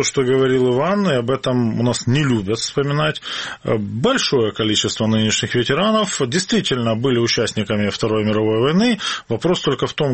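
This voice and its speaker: A man speaking as a guest commentator, analytical and measured, hesitating and qualifying as he goes. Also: mid-range voice.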